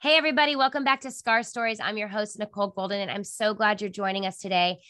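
A woman's voice: mid-range voice, fairly even intonation, fast, sharp tone, clean tone, no room sound, clean studio sound, faint music behind. A woman hosting a podcast interview over a video call, talking in a chatty way with lots of fillers, her voice nasal from a cold. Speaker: podcast host. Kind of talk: casual interview chat.

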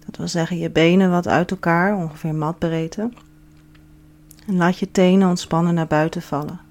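A woman leading a guided relaxation as a yoga instructor, giving soothing, measured instructions with pauses. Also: fairly low pitch, fairly even intonation, darkish tone. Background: background music.

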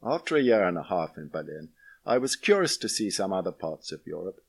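A man with a fairly low voice narrates a written memoir in the first person. His delivery is measured and literary, with very lively rises and falls in pitch across the phrases. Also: vocal fry, breathy edge. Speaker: audiobook-style reader of a memoir.

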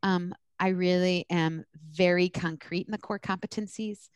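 A woman's voice: lowish voice, fairly even intonation, normal speed, smooth, no room sound. A woman from the US East Coast speaking bluntly and candidly in an interview, with emphatic, conversational answers like an instructor explaining her work. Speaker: social work supervision instructor.

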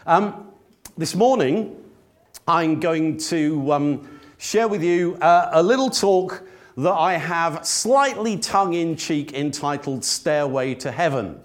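A middle-aged man with a slightly croaky voice from a cold, giving a chatty, self-deprecating church sermon and reading scripture. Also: fairly high pitch, lively intonation, slow pace. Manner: self-deprecating, chatty, with frequent fillers and restarts. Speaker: lay preacher.

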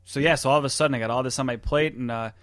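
A man's voice: medium pitch, steady tone, rapid-fire, fairly bright tone, no room sound, studio quality. A man co-hosting a podcast, chatting informally in a loose, rambling way. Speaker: podcast co-host. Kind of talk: casual chat.

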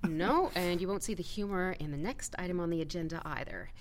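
An actress playing a brisk, no-nonsense committee chairwoman in a satirical audio drama, dry and businesslike. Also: on the low side, slight background noise, close to an everyday voice. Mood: serious, stern.

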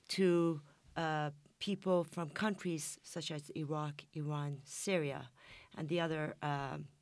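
A woman panel guest in a studio discussion, speaking hesitantly with many pauses and fillers. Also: fairly low pitch, no room sound.

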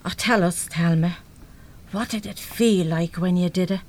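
A woman's voice: fairly low pitch, moderate pace, natural voice. A woman with an Irish accent playing a battered but defiant working-class mother in a live radio play. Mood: low-key, prying. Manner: blunt, salty, plain-spoken.

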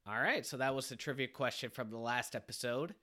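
A man's voice: mid-range voice, a little fast, sharp tone. A man hosting a casual podcast chat, a little stuffy from a cold, asking questions and laughing easily. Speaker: podcast host.

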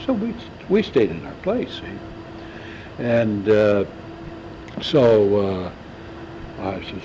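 A man's voice: fairly low pitch, huge pitch swings, drawn-out words. A man in his seventies reminiscing in a casual oral-history interview, rambling and often stalling as he searches for names. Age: 70s. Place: indoors in a large banquet room. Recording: lo-fi tape with loud hiss.

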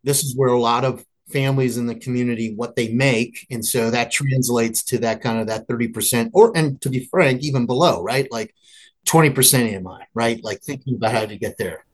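A man's voice: medium pitch, a little fast, low-quality recording. A man in an executive role speaking informally as an interviewee, explaining things in a conversational way. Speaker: nonprofit executive being interviewed.

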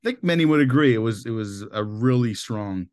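A man hosting a podcast in casual chat, his voice a little raspy, rambling with lots of filler words. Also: mid-range voice, lively intonation, fairly quick, a little mellow. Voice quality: slightly raspy voice. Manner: chatty, loose, with many filler "like"s. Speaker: podcast host.

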